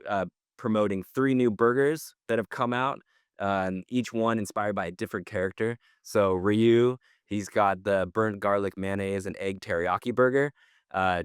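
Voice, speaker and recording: Middle-aged man, deep clean voice, casual slangy podcast co-host, informal news chat. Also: clear, resonant tone, no echo, clean studio sound.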